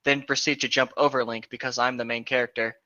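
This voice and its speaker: Guy reading a story out loud with jokey asides, sharp bright voice, kinda lofi mic. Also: medium pitch, flat delivery, fast talker, lo-fi sound. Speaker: reader on a bad-fanfiction reading show. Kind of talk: reading a story aloud with casual joking commentary.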